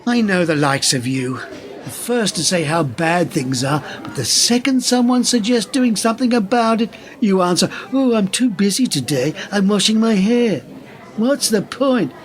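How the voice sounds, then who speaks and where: Actor as a cynical, smug male conspirator, swooping high voice. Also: animated, moderate pace, some chatter behind. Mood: scornful. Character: cynical, smugly superior male government conspirator. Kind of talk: radio play acting.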